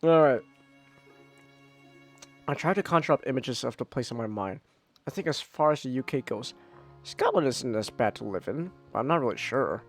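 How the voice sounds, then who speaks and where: Stammering young male streamer. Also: medium pitch. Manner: stammering, repeats words, chuckles. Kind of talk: reading a visual novel aloud with asides.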